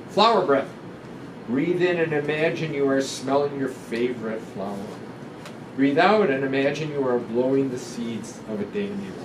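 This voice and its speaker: A man in his seventies reading a children's book aloud in a gentle, deliberate, instructive way, guiding breathing exercises with pauses between phrases. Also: medium pitch. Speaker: guest doctor reading a picture book aloud. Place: indoor room in front of a sequined curtain. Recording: roomy, distant pickup with noticeable ventilation hum.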